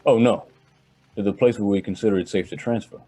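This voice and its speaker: A man's voice: fairly low pitch, steady tone, a little fast, dark tone, natural voice. An actor playing a nonchalant young rich heir in an old-time radio drama, casually boastful about his family's wealth. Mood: polite, breezy. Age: young adult.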